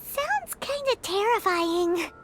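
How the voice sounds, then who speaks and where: A woman voicing a tiny, excitable, fretful fairy girl companion in a video game, with a squeaky childlike voice. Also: slow pace, full character voice. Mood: curious, worried. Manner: speaks of herself in third person. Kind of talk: cartoonish video game voice acting. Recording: loud game music behind the voice.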